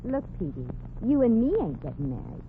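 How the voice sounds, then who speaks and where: A brassy, quick-witted young dame in an old radio comedy, tossing off slangy wisecracks with a lively, bouncing pitch. The broadcast sounds thin and tinny, with steady hiss underneath. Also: female voice. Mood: wisecracking. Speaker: lead actress in a radio comedy. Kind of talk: 1940s radio comedy acting.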